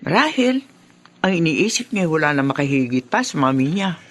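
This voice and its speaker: A woman's voice: low voice, very animated, normal speed. A woman playing a concerned, reasoning sister of a widower in a Filipino radio drama. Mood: concerned, pleading.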